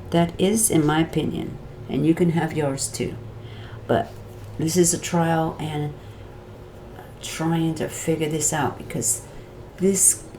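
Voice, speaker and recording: A middle-aged woman with a Wisconsin accent recaps the day's trial for her viewers in a slow, unhurried ramble. Her voice sits low and mellow, and her delivery is chatty and meandering, drifting off topic before finding its way back. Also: slight background noise, rough recording. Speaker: vlogger recapping a court trial. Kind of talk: rambling casual commentary.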